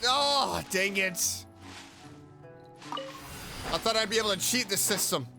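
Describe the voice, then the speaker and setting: A man's voice: high-pitched, lively intonation, measured pace, creaky. A man giving casual, exclamatory gameplay commentary as a streamer, muttering his reactions. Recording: game music behind the voice. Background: game music.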